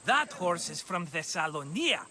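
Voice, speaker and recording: A man's voice: a little high, sing-song, unhurried, pushed and bright, slight background noise, exaggerated voice. An actor playing a jovial, scheming merchant in a video game cutscene, with a theatrical, wheedling salesman's manner. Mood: flattering salesman. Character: jovial, scheming male merchant and hapless vineyard owner.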